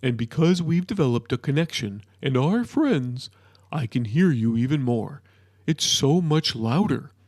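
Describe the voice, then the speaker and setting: A man voicing a big, friendly amphibious creature from a storybook, with a low, cartoonish voice and a patient, deliberate, mildly chiding manner. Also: animated, a little mellow, no room sound, studio quality. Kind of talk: storybook character voice.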